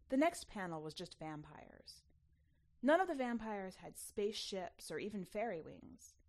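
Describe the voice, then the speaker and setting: A woman reading a short story as narrator, in an expressive scripted storytelling style. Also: mid-range voice. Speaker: podcast host and story narrator.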